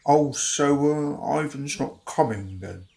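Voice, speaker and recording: A man plays a hapless sidekick in a radio drama. He is loyal and hesitant, with a creaky edge and bouncy intonation. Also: on the high side, animated, slow, a little mellow, heavily acted. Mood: downcast.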